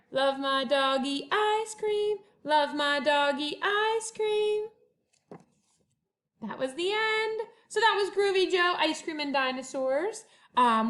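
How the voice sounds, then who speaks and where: A woman with a high voice talks to young children as their teacher. She takes her time, stretching phrases expressively as in a picture-book read-aloud, and sometimes sings the refrains. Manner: expressive, drawn-out storytelling with sung refrains. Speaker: first-grade teacher. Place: at home.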